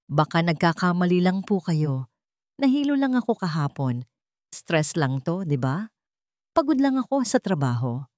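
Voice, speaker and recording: A woman voicing a frightened, pleading young mother who is gravely ill, in an audio melodrama. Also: lowish voice, very animated, moderate pace, darkish tone, no echo, clean studio sound. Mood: anxious denial. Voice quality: choked, cracking voice.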